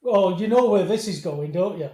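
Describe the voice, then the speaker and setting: Male co-host, high voice, teasing banter, roomy echo. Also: expressive, mellow. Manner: teasing, laughing, quick interjections. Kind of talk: casual banter on a live online show.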